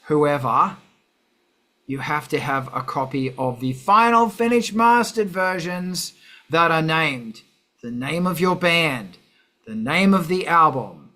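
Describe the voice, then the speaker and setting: A man's voice: a little high, sharp tone. A man hosting a casual chat in a brash, sweary, self-mocking grumpy way. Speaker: host.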